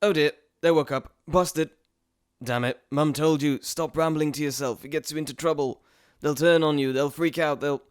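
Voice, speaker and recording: A man's voice: a little high, fairly even intonation, normal speed, clean tone, dry recording, close to an everyday voice. A man playing a glib, dry-sounding trickster god. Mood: flustered. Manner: chatty, theatrical, teasing, self-interrupting. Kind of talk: scripted audio roleplay addressed to the listener.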